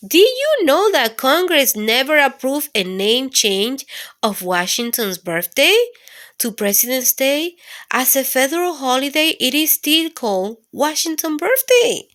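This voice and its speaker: A woman reading an educational story aloud for children, in an expressive, instructive way. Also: high voice, unhurried. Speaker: children's storytime host and reader. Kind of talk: read-aloud educational narration for kids.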